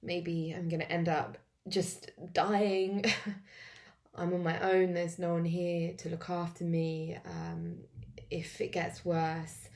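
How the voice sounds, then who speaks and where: An English woman who is wheezy and coughing, talking in a halting way with many fillers as she walks through a self-help tapping routine alone at home. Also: lowish voice, flat delivery, creaky, darkish tone. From England. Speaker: vlogger demonstrating tapping. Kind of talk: casual self-help walkthrough.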